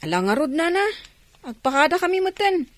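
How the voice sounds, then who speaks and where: A woman plays a teasing, playful young villager in a comedy radio drama. Her voice is very high, with an Ilocano Filipino accent, in a dry studio sound. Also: big pitch swings, a little fast, no echo. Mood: cheerful, polite.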